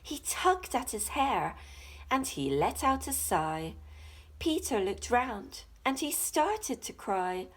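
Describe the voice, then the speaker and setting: A woman teacher reading a rhyming children's picture book in a dramatic, deliberate, expressive way. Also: on the high side.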